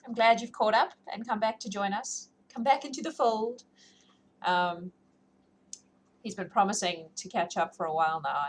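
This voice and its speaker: A woman hosting a livestream, chatting casually and informally to her viewers in a rambling, self-deprecating way. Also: mid-range voice. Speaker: livestream host who reads books aloud. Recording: clearly noisy livestream audio.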